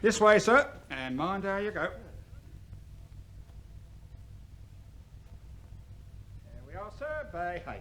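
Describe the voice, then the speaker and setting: Grumbling London storekeeper, animated and high-ish. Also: male voice, moderate pace, heavily acted. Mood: matter-of-fact. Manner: garrulous, complaining, colloquial. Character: chatty grumbling working-class male storekeeper, officious about records. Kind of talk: British radio play acting.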